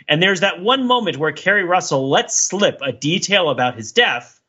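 A man's voice: high voice, lively intonation, normal speed, sharp tone, husky, highs cut off. A man talking casually and analytically as a podcast host, discursive and emphatic in his delivery.

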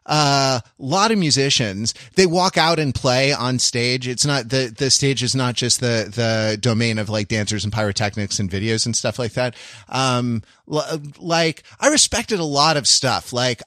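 A man's voice: medium pitch, a bit bright, no room sound, clean studio sound. A man co-hosting a casual podcast, explaining things in a rambling, analytical way. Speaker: podcast co-host.

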